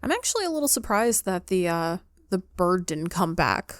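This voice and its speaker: A woman's voice: on the low side, sing-song, moderate pace, no echo, studio quality. A woman giving casual, chatty gameplay commentary, talking affectionately to her viewers as host of her channel. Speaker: video game streamer, channel host.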